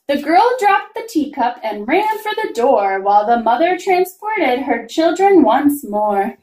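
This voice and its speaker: Female storyteller for children's picture book, very animated singsong read, roomy sound. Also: sits high, unhurried. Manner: expressive, singsong rhyming delivery. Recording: roomy, echoing room sound.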